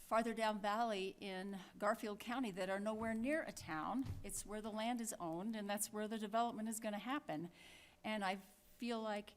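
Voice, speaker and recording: A woman chairing a town council meeting, speaking plainly and thinking aloud with frequent restarts. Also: medium pitch.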